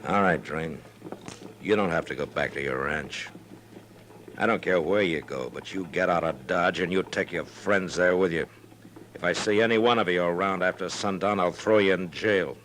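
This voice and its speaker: An actor playing a weary, firm American frontier marshal in a 1950s radio western, speaking in a laconic, authoritative way. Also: male voice, low voice, moderate pace, a bit bright. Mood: stern. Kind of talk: 1950s radio drama acting. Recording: faintly noisy old radio recording.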